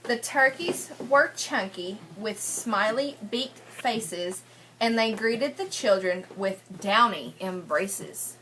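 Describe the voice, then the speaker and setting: A woman's voice: medium pitch, lively intonation, slow pace, bright, forceful tone, a little hiss or hum, low-quality recording. A woman, a teacher reading a picture book to young children, asking questions and repeating their answers. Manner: often repeats children's answers back, prompting them with questions. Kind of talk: interactive read-aloud lesson with questions and explanations.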